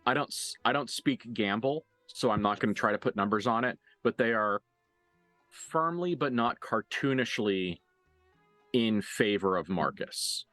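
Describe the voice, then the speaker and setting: A man with a fairly low voice improvises as game master of a tabletop game. His delivery is casual and halting, with some swearing. The sound is dry, with soft music behind him. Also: lively intonation, no echo. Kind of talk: improvised tabletop game narration and in-character dialogue.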